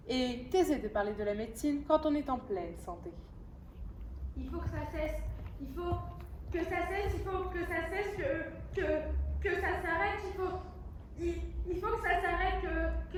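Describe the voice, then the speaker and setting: A woman drama student performing theatre lines in an acted style. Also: high voice. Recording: echoey room, distant mic, background noise.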